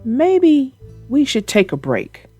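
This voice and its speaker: A woman's voice: high voice, wildly swooping pitch, slow pace, mellow, soft background music, pitched above the performer's own voice, heavily acted. A woman voicing a good-natured young schoolboy who is reluctant about chores, in a children's storybook. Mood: grumbling, tired.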